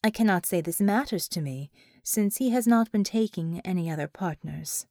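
A woman voicing a calculating female operative in an audiobook, speaking in a formal, measured and indifferent manner. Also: lowish voice, dark tone, dry recording, clean studio sound, natural voice. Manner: formal, measured, delicate phrasing.

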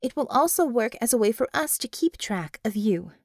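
A woman voicing a small young female magician-technician who explains things in a brisk, businesslike way, in an audiobook. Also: fairly high pitch, animated, no room sound, studio quality. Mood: matter-of-fact. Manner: matter-of-fact, businesslike, explanatory.

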